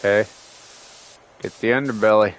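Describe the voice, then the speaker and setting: A man's voice: lowish voice, steady tone, moderate pace, slight background noise, low-quality recording. A man doing casual livestream game commentary, sarcastically grumbling and talking back to the game's characters, still getting over a cold with a lingering cough. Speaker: gaming streamer.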